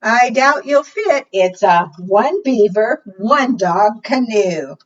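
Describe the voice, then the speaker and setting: A woman's voice: fairly high pitch, animated, slow. A woman voicing a reluctant storybook girl in a canoe, doubtful and firm as she turns others away. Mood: reluctant, exasperated. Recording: distant, dull lo-fi microphone sound.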